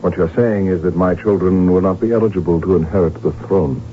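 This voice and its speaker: Casting: man to play desperate, proud imperial heir, very deep, formal and intense, vintage radio drama sound. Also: brisk, slight background noise, close to an everyday voice. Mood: curt. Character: proud, desperate, defiant middle-aged male Habsburg heir. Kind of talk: 1970s radio drama acting. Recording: tinny, humming old radio recording.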